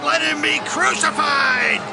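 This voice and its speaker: An actor playing a jeering, bloodthirsty man in an angry mob, with taunting shouts in a Bible drama. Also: very high-pitched, slow pace, creaky, heavily acted. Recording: shouting crowd and loud music.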